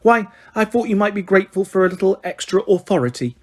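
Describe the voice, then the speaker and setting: A man's voice: high voice, lively intonation, moderate pace, pitched above the performer's own voice. A man voicing a simpering female official with a sickly sweet, fussy way of talking.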